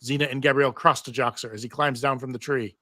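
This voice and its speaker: A man's voice: medium pitch, steady tone, fast, pushed and bright, no echo, studio quality. A man narrating stage directions from a script at a live table read.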